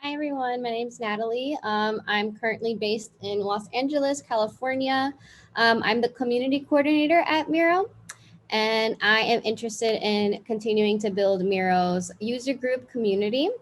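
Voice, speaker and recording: A woman's voice: on the high side, moderate pace. A woman who works as a community coordinator, chatting casually in an online meeting.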